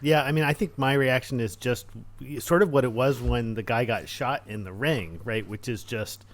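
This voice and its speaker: A man's voice: medium pitch, moderate pace, a little hiss or hum. A man playing a tabletop game, talking casually through his character's actions and restarting his phrases.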